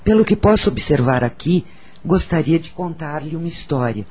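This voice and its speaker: Solemn spiritual counsellor, higher-pitched voice. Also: male voice, lively intonation, darkish tone, husky. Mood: solemn, consoling. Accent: Brazilian. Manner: measured, solemn, preachy. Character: solemn, wise spiritual counsellor telling of past lives. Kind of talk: radio soap opera acting, storytelling.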